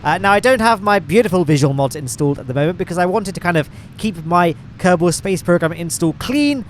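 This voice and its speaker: A man's voice: sits high, animated, fairly quick, slight background noise. An English man with a nasal, congested voice, rambling through casual gameplay commentary with lots of fillers and self-deprecating asides. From England. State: congested from hay fever. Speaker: gaming channel host.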